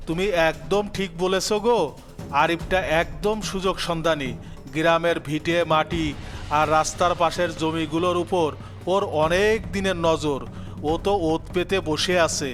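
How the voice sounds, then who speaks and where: Greedy cartoon businessman played by a man, callous, impatient, high male voice, melodramatic, music underneath. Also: steady tone, normal speed, fairly bright tone, lightly acted. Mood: scheming. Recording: music behind the voice.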